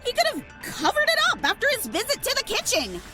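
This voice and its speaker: Audio drama role: actress as smug young accuser, piercingly high, swooping, taunting delivery. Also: female voice, huge pitch swings, fast talker, over music, full character voice. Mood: combative. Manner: taunting, forceful, emphatic. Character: smug, relentless young female accuser in a trial. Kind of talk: melodramatic anime-style audio drama.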